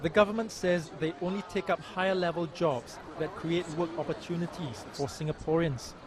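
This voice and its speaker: A man's voice: fairly high pitch, noisy background. Man narrating a news documentary. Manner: measured, even news delivery. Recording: voice-over with music behind.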